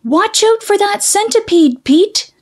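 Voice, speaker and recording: Lady doing a little girl from a kids storybook, high voice, bouncy pitch, sweet and exclaiming. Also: animated, takes it slowly, exaggerated voice. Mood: alarmed, calling out. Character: playful, affectionate little girl with a pet porcupine.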